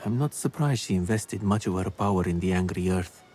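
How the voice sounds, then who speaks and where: A wise ancient physician spirit, played by a man, speaks gravely with a lowish voice. His delivery is solemn and deliberate. Faint music sits under him. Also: darkish tone, natural voice. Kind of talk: game cutscene dialogue.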